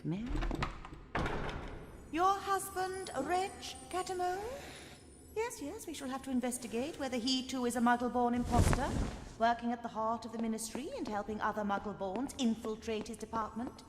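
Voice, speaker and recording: Prim, cruel woman inquisitor played by an actress, high-voiced. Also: normal speed, lightly acted. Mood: falsely sweet. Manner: condescending, mock-sweet, interrogating. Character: prim, cruel, sugary middle-aged female government inquisitor. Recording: echoing hall, loud background noise.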